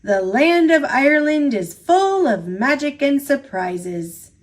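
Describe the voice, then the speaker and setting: A woman's voice: high voice, expressive, slow, voice pushed up. A woman voicing a good-natured, credulous, hard-working man in a storybook, with a folksy, easygoing manner. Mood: delighted.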